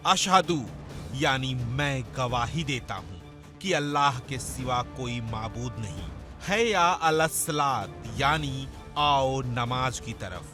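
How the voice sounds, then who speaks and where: Slow, solemn male narrator over music. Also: mid-range voice, pushed and bright. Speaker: news report narrator. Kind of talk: scripted dramatic documentary narration. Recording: music under the voice. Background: background music.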